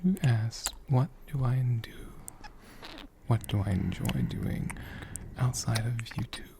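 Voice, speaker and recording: An ASMR host whispering softly and gently, with a breathy voice and deliberate pauses. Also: medium pitch, animated, noisy background. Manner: gentle, slow, deliberate, with pauses mid-sentence. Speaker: host of an ASMR channel answering viewer questions. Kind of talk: soft-spoken whispered ASMR.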